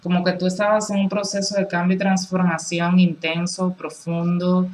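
A woman's voice: on the low side, level tone, moderate pace, darkish tone. A woman giving a casual, chatty tarot reading over cards on a table, reassuring and full of filler phrases. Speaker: tarot reader. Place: indoors at a table with cards on a cloth.

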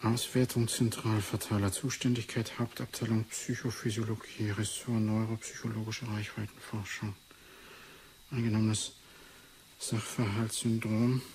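A man's voice: on the low side, little pitch movement, normal speed, darkish tone, lightly acted. Guy playing a grumpy reluctant researcher doctor, mutters a lot, old 80s radio drama, slight noise behind. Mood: reading, muttering. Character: grumbling, reluctant male neuropsychology researcher and doctor. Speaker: researcher character in a radio play. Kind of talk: 1980s German radio drama acting.

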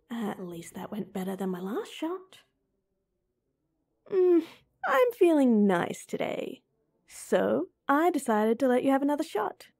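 A woman's voice: a little high, creaky, dry recording, clean studio sound, heavily acted. A woman voicing a young woman with a crush on her childhood friend, teasing playfully and muttering whispered asides to herself. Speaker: voice actor. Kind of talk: romantic roleplay audio.

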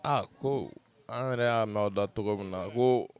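A middle-aged man with a Malian accent, calmly explaining his view to a street interviewer outdoors. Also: on the low side, unhurried, soft background music. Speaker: passer-by. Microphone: handheld held by interviewer.

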